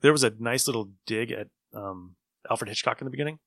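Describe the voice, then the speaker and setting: A man's voice: medium pitch, brisk, creaky, dry recording, studio quality. A man hosting a casual podcast chat about old radio shows, rambling along as an enthusiastic storyteller. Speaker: podcast host.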